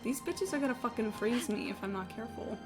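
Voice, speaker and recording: A woman's voice: fairly high pitch, lively intonation, quick pace. A woman chatting casually on a gaming livestream in a rambling, sweary, self-deprecating way. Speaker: live game streamer. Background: game music.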